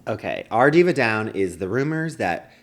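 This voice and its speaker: A man's voice: lowish voice, very animated, moderate pace. A man co-hosting a comedy podcast in casual chat, speaking in an emphatic, mock-dramatic way. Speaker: podcast co-host.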